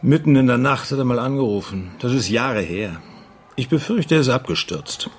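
A jovial former leftist man in a radio drama. His medium-pitched voice is husky and swings widely as he teases and pronounces with irony. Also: animated, normal speed. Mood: nostalgic. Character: jovial, teasing host, ex-leftist former student radical. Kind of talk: German radio drama dialogue.

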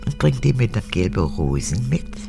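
Gravelly old mother in radio drama, swings from childish whining to cold, pitch all over. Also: sing-song, normal speed, music in the background, exaggerated voice. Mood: confused, needy. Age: 80s. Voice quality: husky, gravelly, often breaking into whispers. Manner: capricious, swinging between childlike pleading and cold recounting. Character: old mother, unrepentant former camp guard, childish and manipulative.

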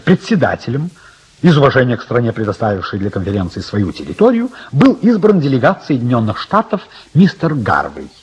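A man's voice: medium pitch, wildly swooping pitch, brisk, slight background noise, low-quality recording. A man giving scripted, dramatic literary narration for a radio play.

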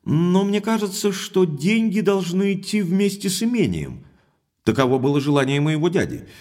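Young heir: hearty, impulsive, lively swooping delivery in a higher register, radio play. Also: male voice, moderate pace. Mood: earnest. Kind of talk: audio drama acting.

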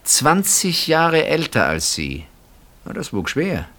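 A male actor playing a middle-aged husband in a radio play, wryly reflective and brooding, speaking with hesitant pauses and deliberate phrasing. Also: on the high side, wildly swooping pitch, bright, forceful tone, exaggerated voice. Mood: brooding, intense. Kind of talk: radio play acting, intimate dialogue.

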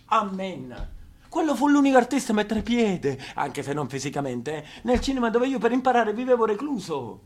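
A male stage actor with an Apulian accent performs a theatrical monologue. His voice sits a little high and sounds husky, and he is emphatic and histrionic, leaning on repetition. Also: big pitch swings, moderate pace. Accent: southern Italian, Apulian. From Apulia, southern Italy. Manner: histrionic, emphatic, repeats words for effect.

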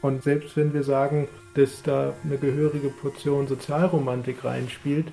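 A man giving analytical commentary in measured, academic phrasing. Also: medium pitch, dark tone, rough recording.

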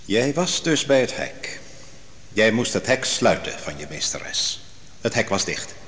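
A man's voice: on the low side, big pitch swings, measured pace, a bit bright. An actor playing a bold, righteous man who cross-examines witnesses in a biblical radio drama, commanding and probing. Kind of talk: Dutch radio drama acting. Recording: long hall reverb.

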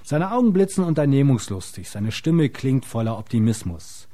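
A man's voice: mid-range voice, big pitch swings, moderate pace, mellow. A man working as a reporter, reading scripted narration.

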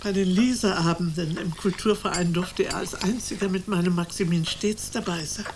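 A woman's voice: lowish voice, steady tone, moderate pace, background noise, natural voice. An actress playing a gushing aristocratic countess who laments her dogs with theatrical sighs, in a radio drama. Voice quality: theatrical, exclamatory, sighing.

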